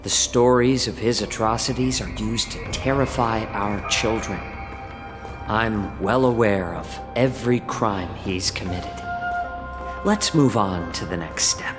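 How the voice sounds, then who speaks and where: A man voices a composed fox-folk envoy for a video game, with a lowish voice and polite, formal phrasing. He has a dry, teasing edge. The sound rings in a large hall, with prominent music underneath. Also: unhurried, close to an everyday voice. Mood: grave, firm.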